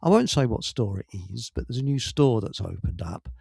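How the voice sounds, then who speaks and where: A man's voice: medium pitch, big pitch swings, fairly quick, creaky, a little mellow, husky, dry recording, clean studio sound. A man with an English accent hosting a radio show in casual, rambling chat, telling stories with colloquial asides and digressions.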